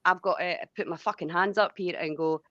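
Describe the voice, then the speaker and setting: A woman's voice: fairly low pitch, steady tone, fast, fairly bright tone, no echo, dull audio. A Scottish woman venting in a casual, blunt, rambling way with plenty of swearing. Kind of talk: casual heated venting chat.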